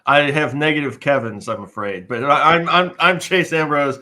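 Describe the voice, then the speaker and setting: A man introducing himself casually as a panel guest, in halting, hesitant phrases. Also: a little high, sharp tone.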